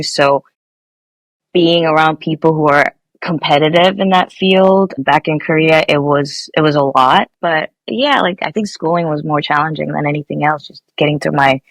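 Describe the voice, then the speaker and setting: A woman's voice: deep voice, steady tone, normal speed, no echo. A young woman from Nepal, a guest engineer, answering interview questions casually with many fillers and restarts.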